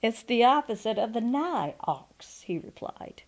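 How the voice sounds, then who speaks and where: A woman in her sixties reading a folksy memoir aloud as a storyteller, expressive and deliberate, with pauses. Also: fairly high pitch. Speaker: storyteller and audiobook narrator. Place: quiet room at home, indoors. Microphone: microphone on a stand before her, seated.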